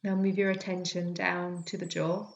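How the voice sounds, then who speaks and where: A woman teaching yoga nidra, guiding a relaxation meditation in a gentle, soothing, unhurried way with long pauses. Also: fairly low pitch, creaky, highs cut off. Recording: birdsong and forest sounds behind voice. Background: calming forest nature sounds with birds.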